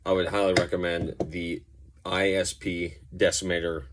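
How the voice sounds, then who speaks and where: A man's voice: low voice, little pitch movement, normal speed, noisy background. A man giving a casual, informal gear demonstration, explaining things in a loose, rambling way as a musician and reviewer.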